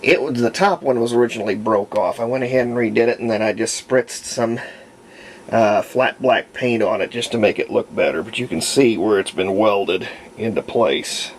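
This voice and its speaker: A man's voice: on the low side, moderate pace, a little hiss or hum. A man giving a casual, unscripted how-to narration as a hobbyist restorer, folksy and rambling as he thinks aloud. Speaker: hobbyist restorer presenting a repair.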